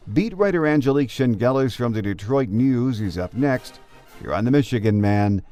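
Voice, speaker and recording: Low-voiced man hosting a sports podcast. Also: a little mellow. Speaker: podcast host. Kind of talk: sports podcast commentary and interview.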